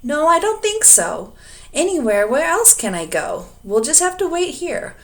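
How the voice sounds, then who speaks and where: A woman's voice: sits high, sing-song, measured pace, a bit bright, pitched above the performer's own voice, full character voice. Woman playing a breathless worn-out young dragon. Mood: soothing. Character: weary, gentle young male dragon.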